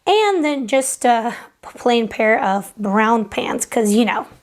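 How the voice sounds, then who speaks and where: High-voiced young woman from Latvia. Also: expressive, takes it slowly. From Latvia, Eastern Europe. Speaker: sewing vlogger. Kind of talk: casual personal vlog.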